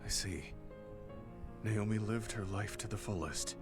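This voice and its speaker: A man voicing an earnest, reserved older soldier in a video game drama, speaking in a measured, sincere and formal way. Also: fairly low pitch, close to an everyday voice. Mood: gentle, somber. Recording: loud music behind the voice. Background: background music.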